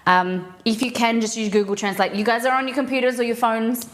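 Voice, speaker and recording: A woman's voice: a little high, little pitch movement, brisk. A young Australian woman hosting a live cooking stream, chatting casually with fillers as she cooks in a home kitchen. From Perth, Western Australia.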